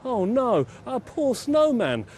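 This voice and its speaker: A man voicing a small, boisterous young male dinosaur in an excitable, exclaiming way for a bedtime story. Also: very high voice, slow, slight background noise, pitched above the performer's own voice, exaggerated voice. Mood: worried.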